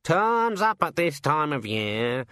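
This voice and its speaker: A man's voice: fairly high pitch, sing-song, slow, a bit bright, no room sound, voice pushed up, full character voice. A man voicing a cynical, chatty male raven who grumbles and rambles on. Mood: grumbling.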